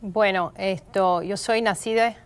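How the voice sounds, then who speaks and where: Middle-aged woman, smooth clear voice, measured explanations. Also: medium pitch, fairly even intonation. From Miami, of Cuban parents. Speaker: guest, state prosecutor. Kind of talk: interview answers.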